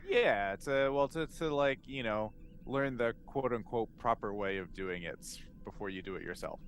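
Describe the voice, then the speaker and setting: A man's voice: mid-range voice, moderate pace. A man chatting casually as a player in a tabletop game, hesitant and playfully joking. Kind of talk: casual chat. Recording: faintly noisy background.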